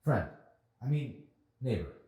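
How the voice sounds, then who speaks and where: A man's voice: mid-range voice, very animated, slow pace, dark tone, voice pushed up. A man voicing a teasing, pushy teenage girl while reading a novel aloud. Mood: playful, insistent. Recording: very echoey room.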